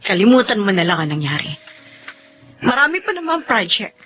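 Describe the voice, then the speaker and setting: A woman acting an expressive, opinionated wife in a Filipino radio drama, with a Filipino accent. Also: medium pitch, normal speed, muffled recording, soft background music. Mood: indignant.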